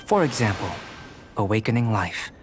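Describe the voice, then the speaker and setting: An actor playing a calm, courteous young male scholar in a video game, measured, articulate and analytical. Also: lowish voice, natural voice. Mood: thoughtful. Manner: measured, polite, detached, articulate. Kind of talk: video game character dialogue. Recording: orchestral music behind.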